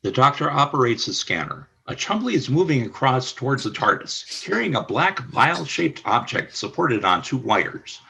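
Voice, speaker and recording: Brief: male narrator for a radio play, measured delivery, bright but husky tone. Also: mid-range voice, big pitch swings, rough recording. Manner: measured, announcer-like.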